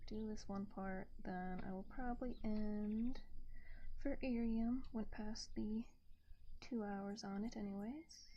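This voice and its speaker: A woman livestreamer chatting casually and gently, rambling softly and at times slipping toward a whisper. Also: mid-range voice, steady tone, takes it slowly, dull audio, a little hiss or hum. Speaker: livestreamer, host of an art stream.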